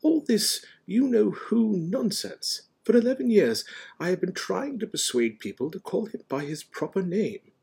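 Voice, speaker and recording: A man playing a gentle, calm, whimsical old wizard in an audiobook, speaking in a mild, courteous, unhurried way. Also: high voice, gravelly, husky, pitched above the performer's own voice, heavily acted. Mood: mild, reasoning. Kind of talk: audiobook character voice.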